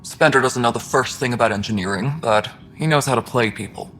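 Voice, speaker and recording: A man's voice: mid-range voice, brisk, a bit bright, slight background noise, lightly acted. An actor playing an earnest, protective male engineering chief on a space station.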